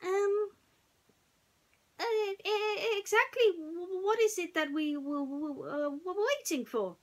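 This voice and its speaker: A woman's voice: very high-pitched, takes it slowly, voice pushed up. A woman voicing a timid, puzzled small male piglet in a storybook, hesitant and stammering.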